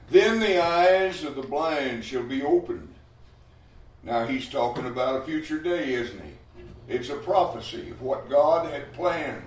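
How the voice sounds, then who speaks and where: A man teaching scripture in a big, emphatic voice that carries through the room. He keeps checking in with rhetorical questions. He's picked up from some distance in an echoey hall, with faint noise underneath. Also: a little high. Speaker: Bible class teacher. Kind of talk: Bible study sermon. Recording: roomy hall, mic from afar, faint noise.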